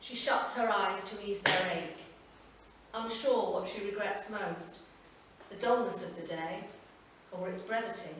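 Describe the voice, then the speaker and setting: A woman reading a literary story aloud at a live event, measured and expressive, recorded from far off. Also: mid-range voice, background noise. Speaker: reader. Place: performance venue. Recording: echoey hall from far off, faint ambience.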